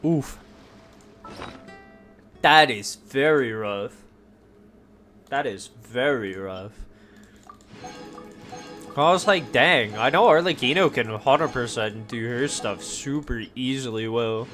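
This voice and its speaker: A man's voice: medium pitch, slow pace, long pauses, fairly bright tone, soft background music. A man doing casual video game commentary, talking to himself and drifting between exclamations and muttering.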